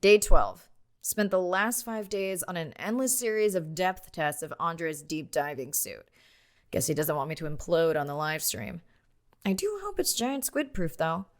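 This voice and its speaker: A woman's voice: fairly low pitch, sing-song, measured pace. A woman playing a wry, adventurous diver in a game, speaking as in a spoken expedition log. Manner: wry, dry humor.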